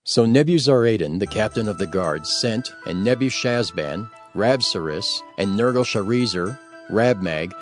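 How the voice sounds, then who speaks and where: A man narrating scripture from a script as an audio Bible reader, formal and solemn. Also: fairly low pitch.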